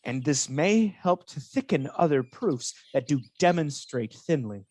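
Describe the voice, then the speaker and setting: A man playing a scheming, falsely honest soldier in a Shakespearean radio drama, speaking in a measured, insinuating manner. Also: on the high side, expressive, darkish tone, dry recording. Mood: coolly persuasive. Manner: insinuating, measured, feigned reluctance.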